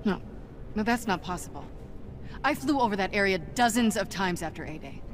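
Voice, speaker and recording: A woman's voice: mid-range voice, lively intonation, a little fast, lightly acted. A woman playing a guarded, earnest spy superhero in a video game, serious and intense. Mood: disbelieving. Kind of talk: cinematic video game acting.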